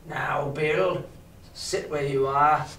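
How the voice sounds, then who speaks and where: A man's voice: a little high, fairly even intonation, drawn-out words, a bit bright. Old blind beggar villain, acted by a man, cruel and wheedling, for a storybook; distant, echoey recording. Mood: cold, menacing. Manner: odd sing-song wheedle that turns to hard commands. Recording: roomy, distant mic with background noise.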